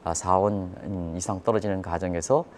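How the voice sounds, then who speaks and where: Low-pitched man explaining financial news. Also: steady tone, moderate pace, slight background noise. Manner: explanatory, repeats key terms, rhetorical questions to viewers. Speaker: economic commentator. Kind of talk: live financial news commentary.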